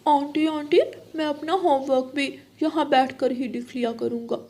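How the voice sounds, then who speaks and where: A woman's voice: high voice, steady tone, normal speed, darkish tone, natural voice. A woman voicing a naughty young boy crow in a children's moral cartoon, pleading and whiny.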